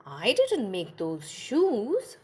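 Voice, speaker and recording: A woman's voice: on the high side, huge pitch swings, drawn-out words, warm tone, breathy edge, voice pushed up, full character voice. A woman voicing a plain-spoken, helpful shoemaker's wife in a children's storybook, with simple, emphatic delivery. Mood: emphatic denial. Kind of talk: children's storybook read-aloud.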